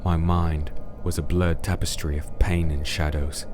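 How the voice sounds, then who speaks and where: Very deep, brooding crusader narrator, played by a man. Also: flat delivery, over music. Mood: somber, weary. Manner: solemn, literary, deliberate delivery. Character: wounded, disenchanted crusader narrating his own ordeal, brooding. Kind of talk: scripted first-person dark fantasy audio drama narration.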